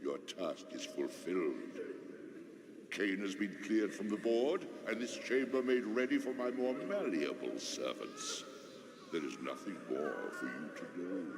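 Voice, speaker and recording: Booming cavernous ancient god. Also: male voice, big pitch swings, over music, exaggerated voice. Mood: scornful, commanding. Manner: slow, imperious, menacing. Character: ancient, domineering male god, coldly imperious. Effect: cavernous reverb. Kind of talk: villainous gothic game acting. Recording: long echoing hall, background ambience.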